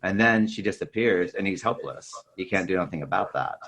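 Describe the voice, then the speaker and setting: A man's voice: low voice, expressive, fairly quick, a bit bright, husky. A man co-hosting a casual film discussion, explaining things in a rambling, thinking-aloud way. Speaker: podcast co-host.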